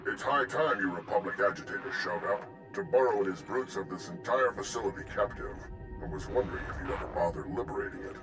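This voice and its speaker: A man's voice: lowish voice, expressive, fast talker, over music, low-quality recording. A man voicing a verbose, courteous alien captive in a sci-fi game, speaking in florid, formal phrases through a radio filter. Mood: indignant, courteous. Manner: pompous, florid, formal wording. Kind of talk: scripted game dialogue.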